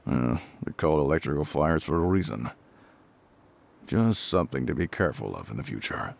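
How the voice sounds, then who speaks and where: A man playing a gruff, calm fire captain in an intimate audio roleplay, with a husky, gravelly voice and a measured, reassuring, matter-of-fact way of talking. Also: extremely low pitch, exaggerated voice. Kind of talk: intimate scripted audio roleplay spoken to the listener.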